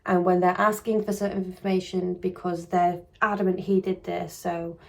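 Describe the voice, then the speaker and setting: A woman with a croaky voice coming on, casually commenting on a true crime case in a rambling, hedging way with frequent restarts. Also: fairly low pitch, level tone, moderate pace.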